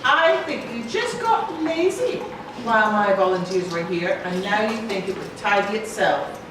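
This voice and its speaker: A woman voicing a brisk, nagging lady organiser in a live children's puppet show, full of scolding exclamations. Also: mid-range voice, expressive, lightly acted. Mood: bossy, teasing. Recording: noisy echoey hall, far from mic.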